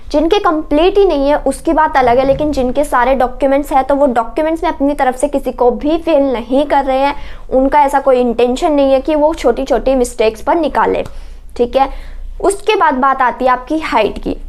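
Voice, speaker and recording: A woman's voice: high-pitched, fairly quick, a little mellow. A woman gives an informational explainer. She sounds like a coaching presenter, instructive and reassuring, going back over points and adding short check-in tags. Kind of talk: informational explainer for exam candidates.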